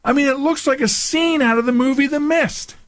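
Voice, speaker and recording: A man's voice: very high-pitched, a little fast, dry recording. A man hosting a radio show, talking casually and informally in a folksy, conversational way as he gives his commentary.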